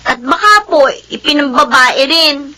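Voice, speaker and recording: Little filipina daughter, super high voice, sad n polite, radio drama. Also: female voice, a little slow, pushed and bright. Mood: downcast. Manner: hesitant, plaintive, polite. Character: sad young daughter, timid and respectful to mother. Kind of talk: Tagalog radio drama acting.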